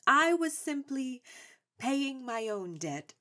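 An actress playing a gracious, principled older mentor in a radio drama, formal and measured. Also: female voice, high-pitched, big pitch swings, bright, forceful tone, exaggerated voice. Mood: gracious, resolute.

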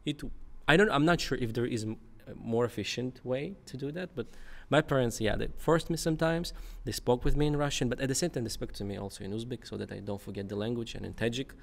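A man's voice: medium pitch, fast, vocal fry, hardly any pauses. A young man talking as a podcast guest in a studio, thoughtful, with many fillers and restarts. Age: young adult. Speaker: podcast guest, English teacher. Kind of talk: casual conversation. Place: dark studio. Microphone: boom mic in front.

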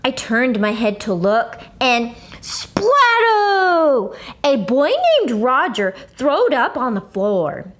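A woman voicing an excitable, blunt first-grade girl in an expressive children's book read-aloud, with childlike grammar and exaggerated emphasis. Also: sits high, slow pace, full character voice. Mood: dramatic, earnest.

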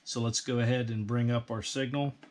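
A middle-aged American man narrating an instructional bench review in a measured, precise technical manner. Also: mid-range voice, level tone, darkish tone. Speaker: host, radio equipment reviewer. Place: indoor electronics workbench.

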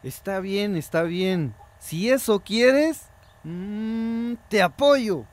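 High-pitched, gentle worried father. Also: lively intonation, drawn-out words, exaggerated voice. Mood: worried, accepting. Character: caring, worried father gradually coming round to support. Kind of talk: radio drama acting.

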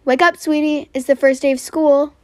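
Caring, anxious mother for a toy-app story, gentle, high voice, dry recording woman acting. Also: little pitch movement, moderate pace, dry recording, natural voice. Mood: worried, tender.

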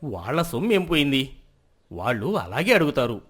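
A man's voice: mid-range voice, very animated, normal speed, fairly bright tone, husky. A man playing a proud, status-minded middle-aged merchant husband in a moral story, self-justifying and then conceding. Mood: defensive, proud.

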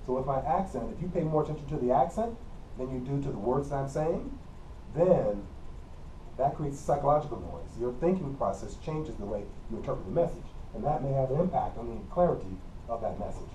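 A man's voice: medium pitch, animated, fairly quick, mellow. A middle-aged man with a Midwestern accent giving a college communication lecture in an empty classroom, explaining plainly and repeating key points. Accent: Midwestern American. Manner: plain, repetitive teacherly explaining with frequent coughs. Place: empty classroom with whiteboard and air conditioner. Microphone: camera mic from across the room. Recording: roomy, distant camera audio with faint hum.

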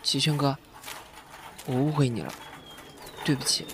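A man acting a gentle, principled and considerate young man in an audio drama. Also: mid-range voice, fairly even intonation, a little slow, a little hiss or hum. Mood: grateful, apologetic.

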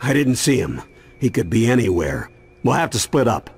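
Game cutscene grandfather: burly, protective, earnest, mid-pitched with lively swings, music behind. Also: moderate pace, gravelly, slightly rough, heavily acted. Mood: resolute. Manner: earnest, commanding, animated.

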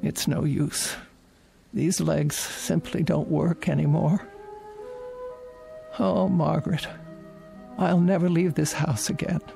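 An actress playing a frail, fretful, lonely old woman in a radio drama, with a creaky voice. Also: deep voice, fairly even intonation, slow, pauses often, dark tone, faint music behind, heavily acted. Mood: frail, despairing. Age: older.

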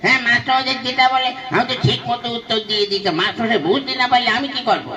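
A man's voice: extremely high pitch, racing, pushed and bright. A man voicing a cocky, precocious village schoolboy in a comic puppet show, with a smug and cheeky manner. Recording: distant from the microphone.

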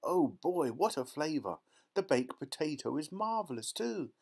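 A man voicing a brash, boastful, gum-chewing little girl who gushes excitedly in a children's storybook. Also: a little high, moderate pace, voice pushed up. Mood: delighted. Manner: gushing, excitable. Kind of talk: dramatised children's storybook reading.

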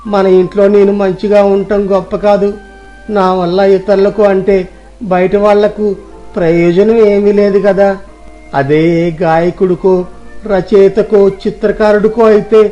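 A gloomy young village nephew, acted by a man, plaintive and full of self-doubt. The voice is very high for a male and darkly mellow. The story recording is dull, with faint music behind. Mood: self-pitying. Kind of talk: Telugu moral story audio drama.

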